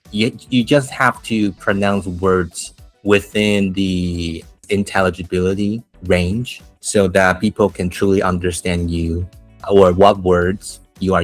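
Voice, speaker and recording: A man with a Taiwanese accent who teaches English, chatting casually and telling animated stories with laughs and restarts. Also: low voice, dry recording. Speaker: English teacher, podcast host. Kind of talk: casual chat.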